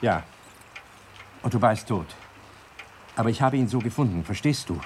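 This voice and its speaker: An actor in a German radio crime drama playing a composed but defensive doctor, measured and insistent. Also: male voice, lowish voice, expressive, a little mellow, close to an everyday voice. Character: composed, defensive male doctor under suspicion. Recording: noisy mono archive radio recording.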